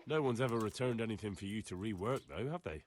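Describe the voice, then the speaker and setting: Earnest young medieval lad. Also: male voice, lowish voice, animated, fast talker, a little mellow. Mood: curious, earnest. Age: young adult. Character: earnest, polite young medieval blacksmith's son, inquisitive and plain-spoken. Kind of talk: video game voice acting.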